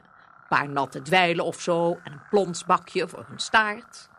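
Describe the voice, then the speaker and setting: A woman's voice: medium pitch, noisy background. A woman playing a haughty, bossy elf housekeeper in a fairy-tale radio play, giving orders in a fussy, grand manner.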